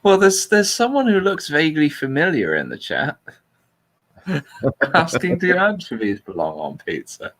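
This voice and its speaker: A man's voice: fairly high pitch, very animated, normal speed, fairly bright tone. A man hosting a casual livestream chat in a blunt, jokey way. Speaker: livestream host.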